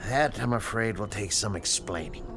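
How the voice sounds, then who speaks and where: An actor playing a calm, quietly pleased scientist in a radio drama, measured and soothing. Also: male voice, lowish voice, music in the background, lightly acted.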